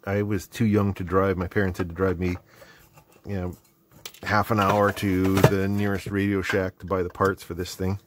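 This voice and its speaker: Low-pitched Canadian man, middle-aged. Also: little pitch movement, moderate pace. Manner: self-deprecating, rambling. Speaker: host of an electronics hobby video series. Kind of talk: casual unscripted workbench narration. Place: indoor hobby electronics workbench. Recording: clearly noisy background with clicks.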